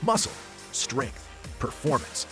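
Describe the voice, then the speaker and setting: A man's voice: medium pitch, big pitch swings, slow pace, bright, forceful tone. A man reading a scripted show intro with a punchy, promotional delivery. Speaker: announcer. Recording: intro with music underneath.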